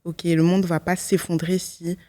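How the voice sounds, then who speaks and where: A woman's voice: lowish voice, flat delivery, a little slow, warm tone, no room sound. Reflective young woman, close dry sound. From Belgium. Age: 20s. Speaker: guest, law student giving testimony. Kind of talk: personal testimony in an interview.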